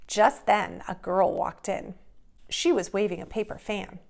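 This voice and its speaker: A woman reading aloud and giving simple instructions to young children in an expressive way, as a preschool teacher. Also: mid-range voice, a little slow, vocal fry.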